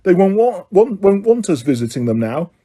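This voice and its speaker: A man's voice: high-pitched, big pitch swings, moderate pace, warm tone, voice pushed up. A man voicing a grumpy teenage boy who talks in an irritable, sulky way. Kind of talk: audiobook character voice.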